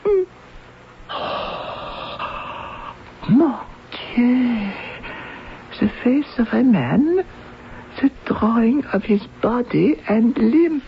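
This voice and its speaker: An actress playing a terrified, reluctant informant in a radio drama, halting and whimpering. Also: female voice, on the high side, very animated, heavily acted. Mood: shocked, reluctant. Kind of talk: vintage radio drama acting. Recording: dull, noisy old radio recording.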